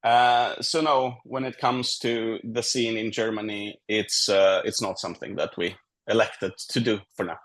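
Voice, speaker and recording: A man from Greece talking conversationally as a consultant and guest in a business interview, candid and measured, laying out his points in order. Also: on the low side, fairly even intonation, a bit bright.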